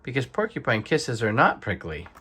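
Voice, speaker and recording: A man's voice: mid-range voice, big pitch swings, moderate pace, pushed and bright, breathy edge, full character voice. A man voicing a kind, cheerful armadillo friend in a children's storybook, encouraging and upbeat. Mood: helpful.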